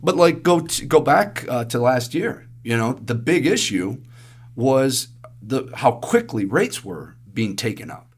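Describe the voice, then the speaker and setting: Raspy-voiced man explaining markets. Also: medium pitch, very animated, measured pace. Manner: chatty, explains with everyday analogies. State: hoarse from allergies. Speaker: investment advisor co-host. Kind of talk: casual podcast market commentary.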